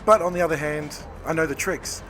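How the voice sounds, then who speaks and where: A man, a game developer, hoarse from talking all day, answering interview questions in a rambling, thoughtful way. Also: a little high, fairly even intonation, brisk, a bit bright, slight background noise. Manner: rambling, self-correcting, thoughtful. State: hoarse, losing his voice. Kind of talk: convention floor interview. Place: busy convention floor.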